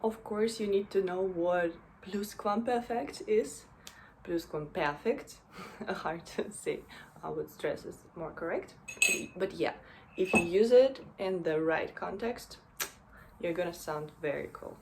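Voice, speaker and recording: A young woman walks through grammar points in a relaxed, slow manner, often pausing on fillers and checking in with "right?". Her voice sits a little low, and faint background noise is heard under it. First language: Russian. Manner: unhurried, with fillers and frequent "right?". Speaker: German language teacher. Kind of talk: casual explainer lesson.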